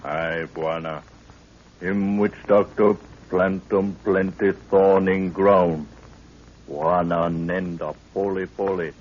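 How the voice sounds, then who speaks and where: A loyal, cautious African camp servant in an old radio adventure. He speaks pidgin English slowly, in a low, husky voice. The recording is dull and dated. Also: steady tone. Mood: cautious warning. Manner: slow pidgin English, clipped grammar. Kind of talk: 1930s radio drama acting.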